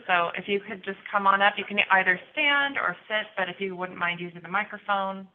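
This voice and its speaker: A woman's voice: medium pitch, a little fast. A woman chairing a city council work session, leading applicant interviews in a friendly, polite manner. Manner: friendly and polite, with many thank-yous. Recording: tinny line with faint hiss.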